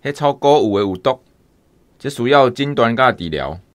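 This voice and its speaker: A man's voice: mid-range voice, animated, normal speed, natural voice. A man with a Taiwanese accent playing an earnest village healer in a radio drama.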